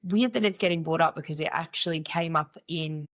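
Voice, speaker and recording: A woman's voice: sits low, a little fast. A young woman hosting a casual solo podcast, with a nasal voice from a cold, talking in a candid, playful and chatty way. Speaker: podcast host.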